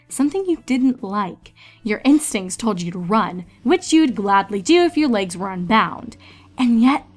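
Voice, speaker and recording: A woman narrator reading a story aloud in a scripted, dramatic storytelling style. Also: fairly high pitch.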